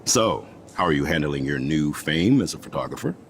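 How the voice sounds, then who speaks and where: An actor playing a jovial, slightly self-important middle-aged school principal in a video game, speaking in an avuncular, slightly pompous way. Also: male voice, lively intonation, a little fast, noisy background. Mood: earnest, regretful. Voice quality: very deep, gravelly.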